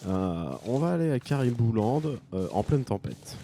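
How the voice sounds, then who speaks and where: Joking French streamer, low mellow voice, faint hiss. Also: male voice, very animated, normal speed. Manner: joking, chatty, thinking aloud. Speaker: gaming streamer. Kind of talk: let's play commentary.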